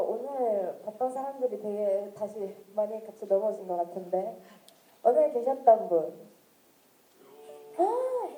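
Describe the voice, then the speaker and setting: A woman in her twenties chats with her fans in a casual, giggly way, swinging between stories and jokes. Her voice is dark and soft rather than bright. She speaks through a handheld mic, and the event hall gives it a roomy echo. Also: fairly high pitch, a little hiss or hum. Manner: chatty, giggly, storytelling. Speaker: singer talking to fans.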